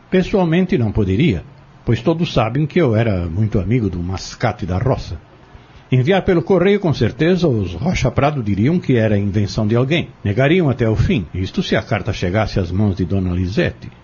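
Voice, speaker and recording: A man's voice: lowish voice, a little mellow, slightly rough, muffled recording, exaggerated voice. An actor in an audio drama playing an insistent, scheming man who goads and persuades in formal wording, bent on exposing a corrupt family.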